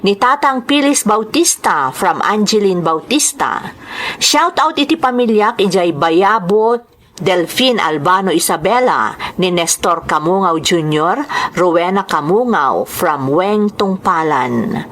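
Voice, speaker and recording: A woman with an Ilocano accent hosting a drama program, reading greetings and letters in a measured announcer style. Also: on the low side, animated, fairly bright tone, a little hiss or hum.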